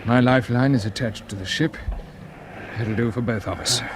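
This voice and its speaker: An actor with a British accent playing a calm, commanding space pilot in clipped 1950s radio drama style, giving steady instructions. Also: male voice, mid-range voice, normal speed, background noise, natural voice. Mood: brisk, steady. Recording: vintage radio broadcast with music behind.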